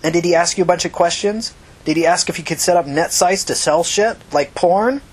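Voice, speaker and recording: A tough woman amateur sleuth, read by a man, pressing and confrontational, with a husky edge. The voice sits fairly high for a man and has the hard, blunt delivery of an audiobook interrogation. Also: a little fast. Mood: insistent. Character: tough, angry, foul-mouthed woman interrogating as amateur detective.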